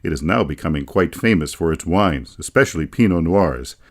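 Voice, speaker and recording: Deep-voiced American man narrating wryly. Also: expressive, brisk. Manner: wry, folksy storytelling. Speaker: radio feature narrator and author. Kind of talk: scripted radio documentary narration.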